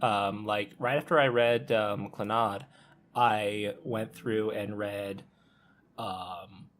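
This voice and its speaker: A man with a fairly low voice chats on a podcast panel. He tells casual personal stories, pausing often with ums as he goes. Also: fairly even intonation, a bit bright.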